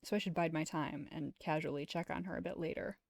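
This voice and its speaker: A woman streamer reading a visual novel aloud as expressive first-person story narration. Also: sits low, fast talker, vocal fry, dry recording, clean studio sound.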